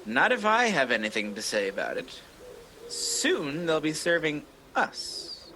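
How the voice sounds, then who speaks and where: A man's voice: on the high side, wildly swooping pitch, pushed and bright, slight background noise, heavily acted. A man playing a bitter, vengeful fallen angel who schemes as king of demons, theatrical and menacing in a radio play. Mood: scheming contempt. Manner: theatrical, menacing, drawn-out emphasis. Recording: echoey, reverberant hall effect.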